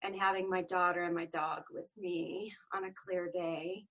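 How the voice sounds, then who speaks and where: A woman's voice: lowish voice, fairly even intonation, a little slow, fairly bright tone, lo-fi sound. A woman giving an informal, appreciative personal update on a group call. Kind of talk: casual personal check-in.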